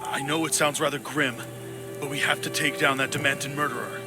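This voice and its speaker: A man's voice: medium pitch, steady tone, brisk, lightly acted. A man voicing a grim, desperate, insistent man in a video game. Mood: grim urgency. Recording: music behind.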